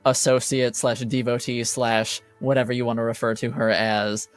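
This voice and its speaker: A man narrating a tabletop roleplaying game as game master, with a halting, deliberate delivery. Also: medium pitch, level tone, smooth, dry recording, soft background music.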